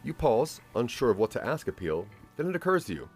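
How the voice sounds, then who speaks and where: A man's voice: mid-range voice, big pitch swings, a little fast, husky, soft background music. A man narrating a scripted audio drama in the second person, as a storyteller addressing the listener.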